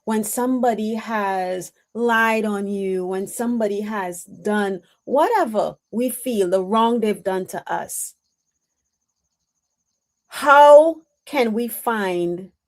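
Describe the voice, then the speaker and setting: Slow, halting woman medium. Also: medium pitch, a little mellow. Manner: slow, reflective, halting with frequent "um"s. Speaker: intuitive medium on a live stream. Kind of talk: live-stream spiritual reading, answering chat.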